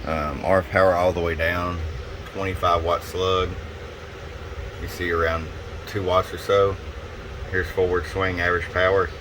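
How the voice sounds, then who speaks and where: Low-voiced man, casual demo over background noise. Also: unhurried, pauses often. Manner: folksy, unscripted, addresses the customer directly. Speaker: radio technician presenting tune-up reports. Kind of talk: casual product demonstration with mic checks. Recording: clear steady background hum and noise.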